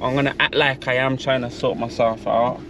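A man's voice: mid-range voice, little pitch movement, fairly quick. A young British man chatting casually and slangily from inside a car, as a prankster vlogger. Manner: slangy, informal. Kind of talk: casual chat and drive-through prank. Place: inside a car at drive-throughs. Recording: noisy car interior, engine and outdoor hum.

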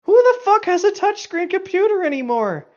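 A man's voice: extremely high pitch, big pitch swings, normal speed. A man banters casually as a co-host, mocking and profane. He jokes in a loose, conversational way. The sound is a little dull, like a modest home recording. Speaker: co-host reading and mocking internet horror fiction.

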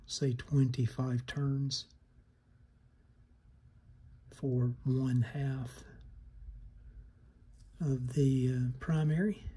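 A man narrating a hands-on repair demonstration, haltingly thinking aloud as he works at a bench indoors. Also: medium pitch, warm tone, breathy edge. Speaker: host of a radio repair channel.

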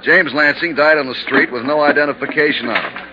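A man's voice: on the high side, a little fast, close to an everyday voice. An actor playing an easygoing, chummy insurance man in 1950s radio drama style, folksy and colloquial. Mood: chummy, informative. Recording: muffled, noisy 1950s radio recording.

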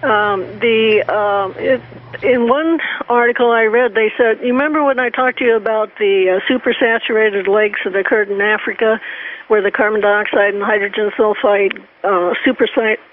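A woman speaking as a panelist, explaining news reports in a halting way with repeated words. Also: medium pitch, fairly even intonation. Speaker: panelist on a talk show. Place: remote call into radio show. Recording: tinny phone-like line with static.

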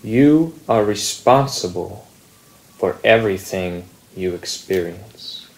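A man reading a contemplative spiritual text in a measured, deliberate manner with long pauses. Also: low voice, animated, rough recording. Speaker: reader at a Zen meditation group.

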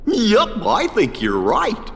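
Goofy, slow-drawling cartoon dog. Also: male voice, sits high, wildly swooping pitch, vocal fry, a bit bright, full character voice. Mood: good-natured. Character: goofy, good-natured lanky cartoon dog. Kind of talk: cartoon character in video game. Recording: hall reverb, faint noise.

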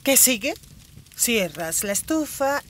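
A woman's voice: a little high, big pitch swings, slow, background noise. A woman voicing a scheming, hungry, self-pitying fox in a dubbed children's cartoon, with a melodramatic manner. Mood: coaxing, impatient. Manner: coaxing, exasperated, melodramatic.

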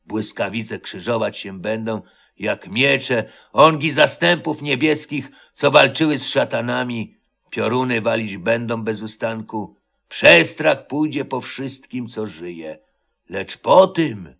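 A man narrates an old tale in a solemn, deliberate storytelling style. His pace is slow and his mid-pitched voice has a creaky, gravelly grain. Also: bright, forceful tone. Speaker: storyteller, reader. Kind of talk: dramatic literary narration of a legend, internet radio.

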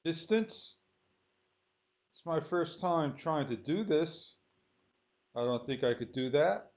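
Male tutorial host, unhurried and halting, thinking aloud step by step; muffled, low-fidelity audio. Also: lively intonation, a little mellow. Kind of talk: unscripted screen-recorded tutorial. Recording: dull lo-fi sound.

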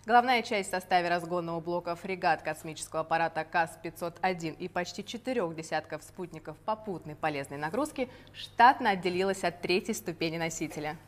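A woman's voice: lowish voice, brisk, few pauses. A woman in her thirties co-hosting a live broadcast in a scripted presenter's style, recorded in a studio on a lapel mic. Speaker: broadcast co-host.

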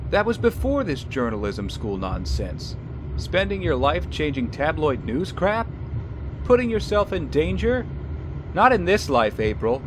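A man playing a stern, dismissive father in an animated drama, overbearing and insistent. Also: a little high, unhurried, background noise. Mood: disapproving.

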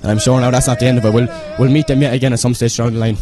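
Rapid-talking Irish teenage captain. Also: male voice, mid-range voice. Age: teens. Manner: rapid, excited, proud. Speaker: school team joint captain. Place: outdoors on a stadium pitch. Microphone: interviewer's handheld mic.

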